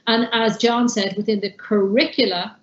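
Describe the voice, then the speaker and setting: A woman's voice: on the high side, steady tone, moderate pace. A woman speaking on an online panel, thoughtful and often restarting her phrases. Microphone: video call. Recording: echoey, dull video-call audio.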